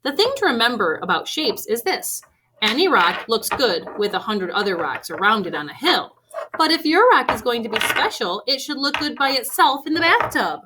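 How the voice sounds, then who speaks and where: Woman, children's read-aloud storyteller. Higher-pitched, lively and varied delivery, leaning on key phrases, faint noise behind. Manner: expressive, deliberate emphasis on key phrases.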